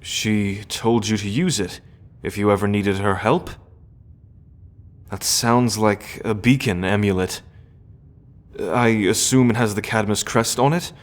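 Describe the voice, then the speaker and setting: An actor playing an earnest, conflicted prince in an audio roleplay, speaking formally and deliberately with sighs. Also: male voice, on the low side, fairly even intonation, lightly acted. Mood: resolute, earnest. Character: earnest, conflicted prince, son of a scheming queen. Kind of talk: audio roleplay drama acting.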